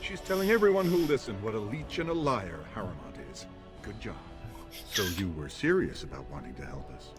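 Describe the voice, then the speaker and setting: Wily royal aide guy, brisk and lively, fairly low voice, video game dialogue, bit of music. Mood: brisk, approving.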